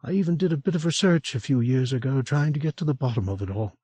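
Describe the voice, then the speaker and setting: Chatty middle-aged woman, warm and teasing, lilting delivery with lively rises; a male narrator puts her on, dry close sound. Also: mid-range voice, fast talker, husky, no room sound, voice pushed up. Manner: lilting and chatty, drops to a hush. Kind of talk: audiobook character voice.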